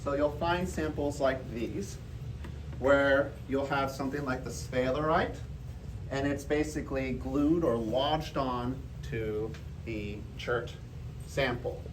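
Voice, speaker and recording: A man's voice: mid-range voice, slow pace. A man giving an informal public lecture, explaining things in a conversational way to a live audience. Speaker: presenter giving a geology talk. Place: indoor room before a live audience. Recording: roomy, distant mic, faint background noise.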